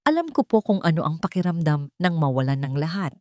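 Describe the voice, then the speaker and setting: A woman narrator plays a humble mother in a romance audio story. She speaks gently and with earnest feeling, in a low, mellow voice on a very dry recording. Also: big pitch swings, brisk, dry recording. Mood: moved, grateful. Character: grateful, humble young mother, once homeless.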